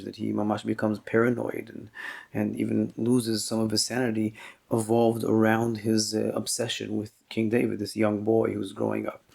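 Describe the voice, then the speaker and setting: Low, gravelly-voiced male rabbi teaching. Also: darkish tone. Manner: halting, thoughtful explaining with many pauses and restarts; weaves Hebrew terms into his talk. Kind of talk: Torah class lecture.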